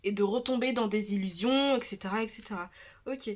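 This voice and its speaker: A woman with a French accent giving a relaxed tarot reading, talking in a chatty, hesitant way with many restarts and a slightly stuffy nose. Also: fairly high pitch, a little fast. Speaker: tarot reader. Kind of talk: casual tarot reading video.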